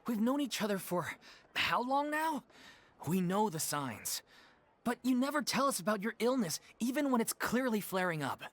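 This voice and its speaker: Lively-pitched, worried troupe leader. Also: male voice, moderate pace. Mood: frustrated. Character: worried, stern but conciliatory theatre troupe leader. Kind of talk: video game voice acting.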